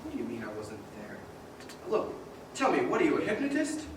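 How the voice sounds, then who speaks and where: A man's voice: a little high, wildly swooping pitch, fairly quick. A man acting a frantic, bewildered family man in a stage radio play, agitated and pleading. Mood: bewildered, distraught. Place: live stage in a large echoing hall. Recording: echoey hall from far off, noisy.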